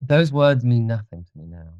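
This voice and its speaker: A man's voice: mid-range voice, animated, moderate pace, a little mellow, no echo, muffled recording, pitched above the performer's own voice, close to an everyday voice. The lost young man of a gothic audiobook, coldly refusing all hope, as a male reader plays him. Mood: bitter, resigned. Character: bitter, despairing young gentleman, hardened by sin. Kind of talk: audiobook character voice.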